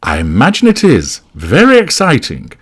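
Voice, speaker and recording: A man's voice: fairly low pitch, sing-song, normal speed, fairly bright tone, full character voice. A man playing a weary, mild husband in an audiobook, hesitant and dutifully feigning enthusiasm.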